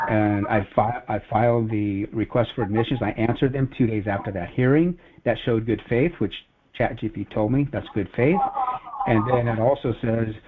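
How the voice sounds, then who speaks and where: A man's voice: medium pitch, slight background noise. A man giving an earnest, rambling, casual personal account on a conference call. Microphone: telephone conference call. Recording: phone-like conference call audio.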